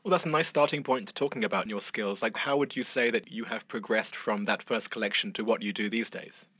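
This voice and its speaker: Husky-voiced man interviewing, dry sound. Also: fairly low pitch, fairly quick, fairly bright tone, no echo. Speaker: interviewer, host. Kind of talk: conversational interview.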